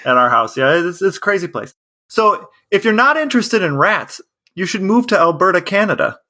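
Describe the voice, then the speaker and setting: A man's voice: high-pitched, very animated, a little fast, sharp tone. A man co-hosting a podcast, telling things in a casual, chatty way. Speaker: podcast co-host. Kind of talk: casual storytelling chat.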